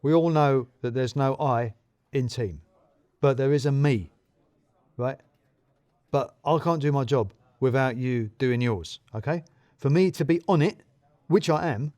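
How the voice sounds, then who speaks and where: A man's voice: medium pitch, unhurried, long pauses, darkish tone, close to an everyday voice. A pompous male office manager, acted out by a male story reader barks in clipped, emphatic corporate phrases. He is self-important and bossy. The sound is dry, with no room echo. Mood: rallying, bossy.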